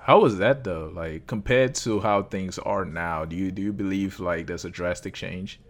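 A man's voice: deep voice, moderate pace. A man interviewing a guest in casual conversation, friendly and affirming. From Cameroon. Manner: friendly, affirming, lots of okays.

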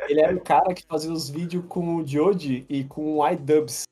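A young Brazilian man with a warm, dark tone that sits a little high, chatting loosely on a podcast. He swears freely and gets animated when he tells a story. Faint music plays underneath. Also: normal speed, breathy edge. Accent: Brazilian Portuguese. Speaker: podcast co-host. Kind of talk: casual chat.

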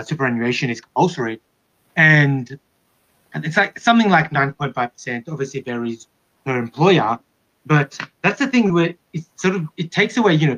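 A man speaks in a slightly high voice. The sound is dull and lo-fi. He explains things informally, as in a casual group discussion. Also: a bit bright. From Australia.

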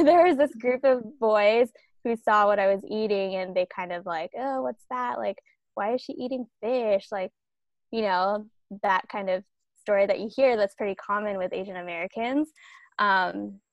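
A woman's voice: mid-range voice, moderate pace, no echo, dull audio. Reflective young woman, dry sound. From Los Angeles. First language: Tagalog. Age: young adult. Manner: reflective, storytelling. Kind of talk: casual conversation.

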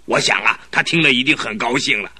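An actor playing an excitable, crafty old duke in his seventies who stammers and exclaims in a radio play. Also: mid-range voice, fast talker, breathy edge, no room sound. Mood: grateful, curious. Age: 70s. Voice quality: flustered, full of exclamations and stammering. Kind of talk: 1970s Chinese radio drama acting.

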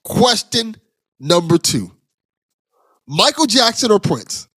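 A man's voice: sits high, sing-song, slow pace, fairly bright tone, husky, no room sound. A man hosting a podcast, chatting casually with affectionate banter. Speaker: podcast host. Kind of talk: casual interview chat.

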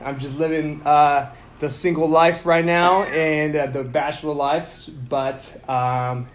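A man in his thirties from Mexico, co-hosting a podcast in a loud, jokey, casual chat and laughing often. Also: fairly high pitch. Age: 30s. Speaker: podcast co-host.

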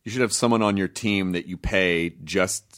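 A man from Memphis hosting a casual interview, animated and jokey, laughing often. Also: low voice, normal speed. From Memphis, United States. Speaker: podcast host.

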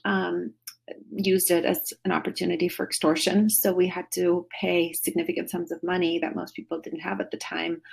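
A woman's voice: fairly low pitch, vocal fry, breathy edge. A middle-aged woman from Russia, a podcast guest, talking in a chatty, rambling way with many fillers and restarts over a call. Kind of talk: casual remote interview. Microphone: phone or video call.

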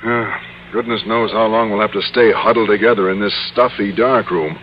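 A man's voice: fairly low pitch, steady tone, moderate pace, faint music behind, natural voice. An actor playing a bashful, naive male schoolteacher, hesitant and flustered, in an old radio comedy. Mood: nervous. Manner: hesitant, stammering, boyish. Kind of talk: 1950s radio comedy acting. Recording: muffled, noisy old radio broadcast.

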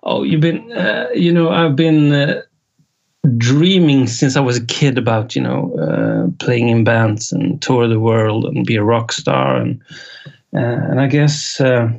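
Slow, gravelly Swedish-accented man. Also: mid-range voice, expressive, darkish tone. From Sweden. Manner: halting, many filler pauses, chuckles often. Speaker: independent musician guest. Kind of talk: casual interview chat. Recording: dull lo-fi sound.

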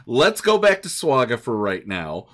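A man's voice: mid-range voice, wildly swooping pitch, unhurried, fairly bright tone. A man hosting a casual gaming discussion, steering the conversation as he goes. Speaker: podcast host. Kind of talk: casual hosted discussion with listener shout-outs.

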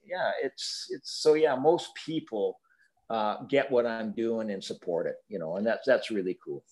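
A man's voice: fairly low pitch, unhurried, darkish tone. An older retired man chatting as a podcast guest, rambling with many restarts and filler phrases, joking about himself. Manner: rambling, self-deprecating, many "you know"s and restarts. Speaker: podcast guest, hobby builder. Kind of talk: casual interview chat.

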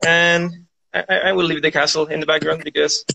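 A man's voice: on the high side, little pitch movement, brisk, gravelly, bright, forceful tone, low-quality recording. A man from Chile, chatting casually as an interview guest in a rambling way, often saying "like". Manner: rambling, peppered with "like". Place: live video call.

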